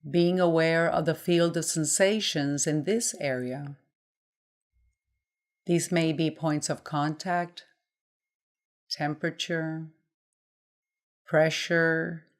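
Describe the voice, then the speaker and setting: A woman guides a mindfulness body scan at a very slow pace. Her voice sits a little low for a woman. She gives gentle instructions in measured phrases, leaving pauses between them.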